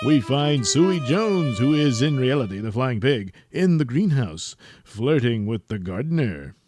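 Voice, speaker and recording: A man's voice: medium pitch, big pitch swings, a little slow, music in the background. A man giving dramatic scripted narration for a comic audio serial.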